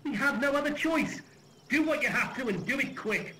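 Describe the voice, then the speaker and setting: Irascible railway controller played by a teenage boy, very high and forceful, blustering radio-play delivery. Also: animated, moderate pace, a little hiss or hum, exaggerated voice, low-quality recording. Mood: exasperated. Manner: blustering, exasperated. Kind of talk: school radio play acting.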